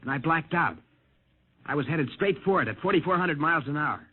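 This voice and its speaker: Husky rattled young test pilot, urgent, tinny old radio sound. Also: male voice, a little high, lively intonation, a little fast. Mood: earnest. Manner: earnest, urgent. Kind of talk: 1950s radio drama acting.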